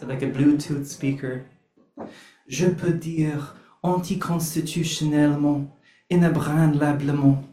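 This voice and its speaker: A man's voice: mid-range voice, warm tone. A man performing spoken word poetry with a wry, theatrical delivery, then hosting an open mic. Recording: roomy venue sound.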